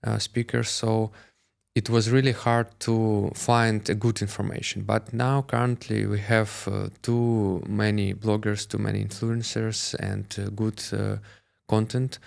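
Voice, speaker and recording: Brief: Russian-accented male guest, low gravelly voice, halting with fillers. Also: level tone, a little mellow. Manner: hesitant, many filler pauses. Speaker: crypto influencer guest. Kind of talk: interview answers.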